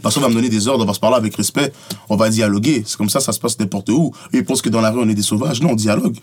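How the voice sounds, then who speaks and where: Fast-talking husky Montreal man. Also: lowish voice. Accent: Montreal Quebec French. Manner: emphatic, repeats "tu comprends" and "bro". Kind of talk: casual interview talk.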